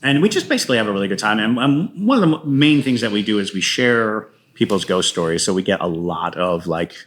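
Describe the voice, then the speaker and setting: A man with a fairly low voice speaks as a guest in a relaxed interview. He tends to think aloud, halting and picking his way through sentences in a conversational style. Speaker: guest playwright and podcaster. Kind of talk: casual interview chat.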